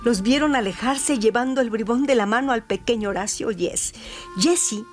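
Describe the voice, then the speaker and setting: A woman reading a scripted crime story as a radio narrator, with deliberate, dramatic phrasing. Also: a little high. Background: music.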